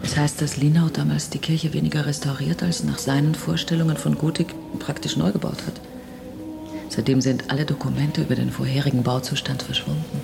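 An actress in a naturalistic radio drama playing a sceptical, knowledgeable tourist with a dry, matter-of-fact manner. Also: female voice, low-pitched, steady tone, fairly quick, gravelly, noisy background. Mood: dryly explaining.

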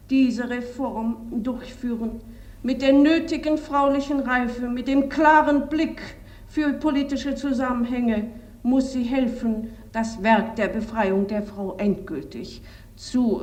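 A woman's voice: a little high, darkish tone, roomy sound. An actress playing a postwar woman politician, making an earnest, emphatic appeal in a documentary radio play. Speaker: woman politician giving a speech.

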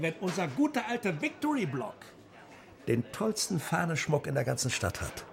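An actor playing a jovial, foolish, over-eager Party busybody in a radio drama, with a blustering, chummy way of talking. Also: male voice, high voice, gravelly, heavily acted. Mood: boastful.

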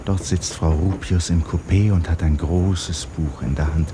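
Very low man's voice, measured literary narrator, 1950s radio drama, noisy vintage recording. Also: steady tone. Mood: dreamy narration. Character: literary narrator of a woman's dreamy inner story.

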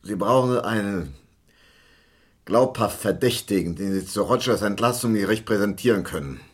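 A man acting in a German radio crime drama as a bitter, washed-up, hard-drinking theatre director, halting and repetitive, with a rough, husky voice. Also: on the low side. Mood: resigned, cynical. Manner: slow, halting, repeats himself, swinging between mutter and outburst.

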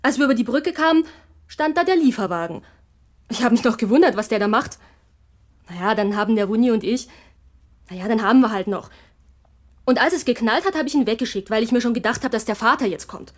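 Cheeky teenage girl, highish voice. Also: expressive, a little fast, long pauses. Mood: offhand. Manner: colloquial, bargaining, offhand. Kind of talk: German radio crime drama.

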